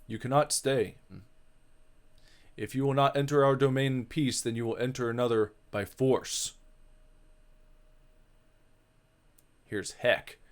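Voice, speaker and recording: Man as a soft, solemn heavenly being. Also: mid-range voice, fairly even intonation, normal speed, lightly acted. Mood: stern. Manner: solemn, formal, soothing. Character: serene, soft-spoken heavenly being ushering the dead onward. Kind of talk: dramatic reading of a visual novel.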